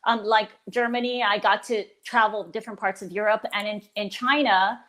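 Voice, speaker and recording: A woman's voice: mid-range voice, fairly even intonation, normal speed. A woman in her forties from the Philippines, a stage performer talking enthusiastically about her career in a casual interview. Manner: warm and enthusiastic, with frequent restarts. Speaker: guest performer.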